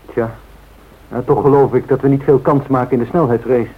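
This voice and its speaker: An actor playing a modest, unruffled airline pilot in a radio drama, deferential and understated. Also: male voice, medium pitch, fairly even intonation, a little fast, slight background noise, close to an everyday voice. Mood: modest, matter-of-fact. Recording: thin, phone-like old radio recording.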